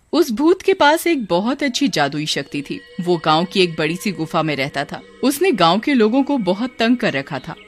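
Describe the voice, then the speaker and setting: A woman narrating a scripted folk tale as a storyteller. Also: lowish voice.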